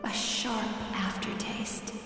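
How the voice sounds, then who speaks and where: Slow, gentle dream therapist. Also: female voice, mid-range voice, steady tone. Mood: hushed, guiding. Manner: soothing, measured, careful. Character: gentle, attentive female dream therapist guiding a session. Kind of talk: anime-style video game voice acting. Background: game music.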